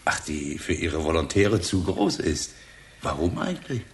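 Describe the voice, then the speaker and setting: An actor playing a blunt, sceptical police inspector in a radio crime drama, with a gruff and sarcastic manner. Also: male voice, sits low, sing-song, a little slow, a little hiss or hum. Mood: wry, probing.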